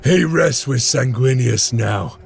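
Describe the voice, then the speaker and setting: An actor playing a stern veteran space marine lieutenant in a game cutscene, grave and solemn. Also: male voice, mid-range voice, slow, creaky, darkish tone, a little hiss or hum. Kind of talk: video game cutscene acting. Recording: game audio over music.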